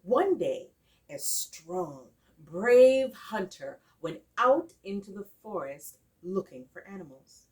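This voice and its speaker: A woman telling a folktale in an expressive, dramatic style with deliberate phrasing and emphatic repetition. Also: mid-range voice. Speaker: storyteller. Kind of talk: expressive oral folktale storytelling. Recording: distant microphone.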